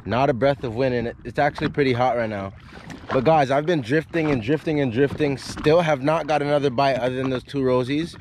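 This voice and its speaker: A young man giving casual, excited fishing commentary in short, repeated exclamations, recorded outdoors on the water. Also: medium pitch, fairly quick. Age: young adult. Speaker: fishing vlogger. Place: outdoors on a jet ski at sea. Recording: noisy outdoor boat recording. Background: boat and open-water noise.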